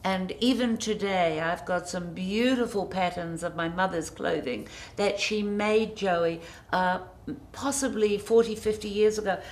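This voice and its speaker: A middle-aged woman, a design guest on a TV studio interview, speaking graciously with effusive, drawn-out emphasis. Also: fairly low pitch. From New Zealand. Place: TV studio set.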